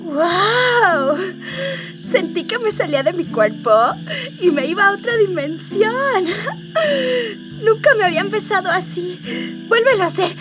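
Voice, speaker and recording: Casting: spoiled alien princess, very high, drawn-out delivery, flirty and melodramatic, radio comedy. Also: female voice, animated, distant mic, music in the background. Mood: infatuated, pleading. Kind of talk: comedic radio drama acting.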